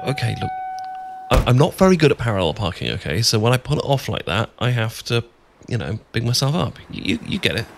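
A man's voice: medium pitch, sing-song, a little fast. A British man playing an adorkable, bashful young friend in an ASMR boyfriend roleplay, hesitant, stammering and rambling. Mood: flustered, friendly. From Britain. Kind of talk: ASMR boyfriend roleplay, improvised-sounding casual chat. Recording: clear background noise with ambience.

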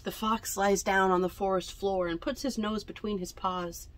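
A woman's voice: medium pitch, normal speed. A woman in her twenties reading a picture book aloud to children, expressively, with chatty asides. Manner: expressive, addresses young listeners directly. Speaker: library storytime reader. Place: indoors among library bookshelves.

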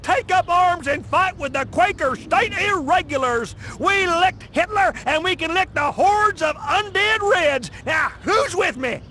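An actor playing a belligerent, theatrical police chief in a video game, bombastic and threatening. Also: male voice, very high voice, animated, unhurried, few pauses, pushed and bright, a little hiss or hum, full character voice. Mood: rousing. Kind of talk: video game cutscene acting. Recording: music behind the voice.

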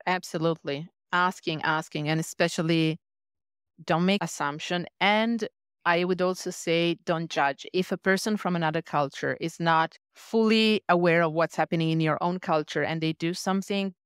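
A woman's voice: on the low side, moderate pace, clear, resonant tone, no echo, clean studio sound. A woman with an Italian accent, a leadership coach, speaking thoughtfully as a guest in a podcast interview. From Italy. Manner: thoughtful, reflective, earnest.

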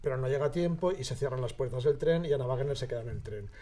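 A man's voice: mid-range voice, quick pace, dark tone. A man with a Spain accent, a radio drama creator talking conversationally in a studio interview.

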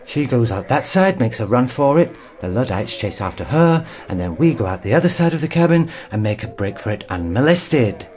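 A man's voice: mid-range voice, sing-song, brisk, hardly any pauses, darkish tone, close to an everyday voice. An actor playing a cynical, selfish man on the run in an audio drama, brusque and sardonic. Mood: brisk, scheming. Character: cynical, selfish, hard-bitten male fugitive.

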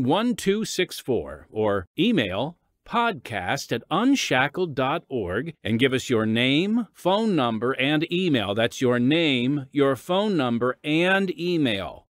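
An American man hosting a podcast, reading scripted radio announcements with measured, emphatic phrasing. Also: medium pitch, dry recording, clean studio sound. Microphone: close studio microphone. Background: music.

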